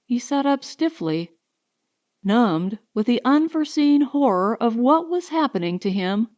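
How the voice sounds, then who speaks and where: A woman reading a science fiction short story as an audiobook narrator, in a measured, carefully enunciated storytelling style. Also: a little high, animated, a little mellow.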